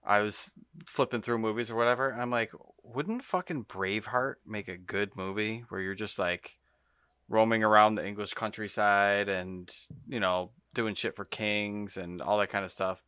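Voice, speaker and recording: A man's voice: lowish voice, fairly even intonation, moderate pace, pushed and bright, smooth, no echo. A man co-hosting a podcast, chatting casually and informally. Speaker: podcast co-host.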